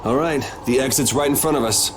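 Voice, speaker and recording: A man's voice: medium pitch, fairly quick, background noise, lightly acted. An actor playing a laid-back young male party leader in a video game, talking in a dry, casual way. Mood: calm, decisive. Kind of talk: English video game dub acting.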